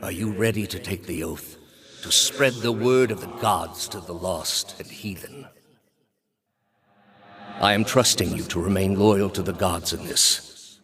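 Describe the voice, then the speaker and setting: A man playing a stern, zealous high priest and inquisitor in a video game, speaking in a measured, formal, quietly menacing way. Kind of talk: dramatic video game character dialogue.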